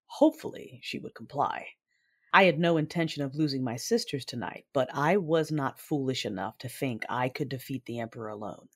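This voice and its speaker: An actress playing an intense, coolly confident Sith warrior woman, narrating a sci-fi audio drama in a husky, measured voice over music. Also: extremely low pitch, exaggerated voice. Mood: grave, intense. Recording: music under the voice.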